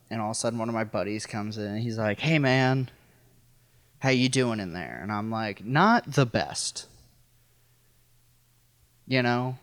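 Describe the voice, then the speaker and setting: A man's voice: mid-range voice, no room sound. An American man from rural Missouri telling a personal story casually as a podcast guest, in a wry, self-deprecating, profane way. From rural Missouri, United States.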